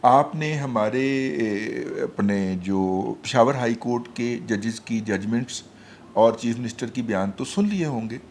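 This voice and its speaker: Animated man telling a story. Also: unhurried. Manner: tells a personal story animatedly, rising in pitch. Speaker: panelist. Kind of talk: casual political talk. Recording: faint background noise.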